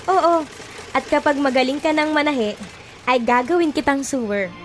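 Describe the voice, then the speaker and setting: A woman playing a kindly, brisk sewing supervisor in a radio drama, matter-of-fact in manner. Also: sits high, lively intonation, music in the background. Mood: kind, encouraging. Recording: faint sewing machine noise behind.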